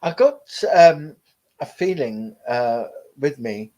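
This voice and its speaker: A man working as a medium, giving a live online reading in a gentle, rambling, reassuring way with frequent fillers and soft laughs. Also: medium pitch, animated, slow pace, highs cut off.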